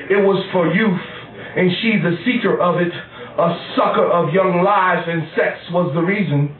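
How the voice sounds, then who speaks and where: A man reading his poetry live in a cafe, in measured, deliberate short phrases. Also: sits high, a little hiss or hum. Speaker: poet. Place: small cafe poetry night, indoors. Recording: distant, echoey, phone-like lo-fi live recording.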